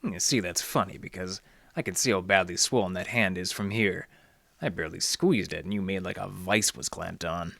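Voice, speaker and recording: Deep-voiced, gravelly hardboiled detective. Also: male voice, moderate pace, no room sound, heavily acted. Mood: pressing, accusing. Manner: deadpan, sardonic, slangy. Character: wry, tough male library detective narrating his case. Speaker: actor, lead and narrator. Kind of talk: hardboiled 1940s detective radio acting.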